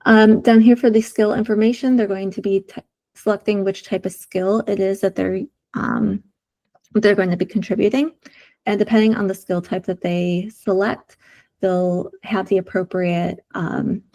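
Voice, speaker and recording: Woman with a sore, gravelly voice. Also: mid-range voice, mellow. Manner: explanatory, many "um" and "uh" fillers. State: sore throat, losing her voice. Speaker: UX designer presenting prototypes. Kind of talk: community meeting screen-share walkthrough.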